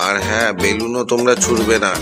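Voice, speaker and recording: A man's voice: on the low side, level tone, quick pace, over loud music, natural voice. A man playing a kindly father figure in a children's cartoon, instructing in a fatherly way.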